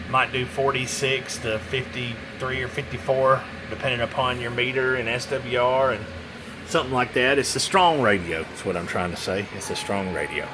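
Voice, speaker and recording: A man's voice: medium pitch, background noise. A man giving a casual, rambling hands-on product demo, muttering to himself as he goes. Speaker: radio seller demonstrating a CB radio.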